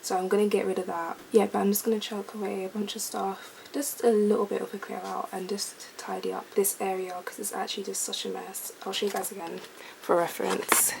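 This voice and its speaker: A young woman, chatting casually to camera at home about her day, a little croaky. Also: medium pitch, brisk, noisy background. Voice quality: slightly croaky in the morning. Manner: relaxed, chatty, thinks aloud. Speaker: vlogger. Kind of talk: casual vlog chat to camera. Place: bedroom at home.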